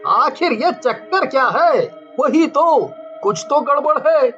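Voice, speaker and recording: A man's voice: very high-pitched, expressive, slow, bright, forceful tone, faint music behind, exaggerated voice. A man voicing a distressed, protesting cartoon villager in an exaggerated way, with muffled sound. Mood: bewildered, indignant.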